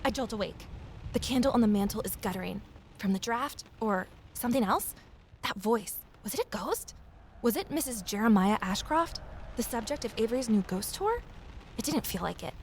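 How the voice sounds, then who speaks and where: A woman's voice: medium pitch, slight background noise, close to an everyday voice. An actress playing a guarded, lonely amateur sleuth who narrates her own mystery in an introspective audio drama style. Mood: spooked, puzzled.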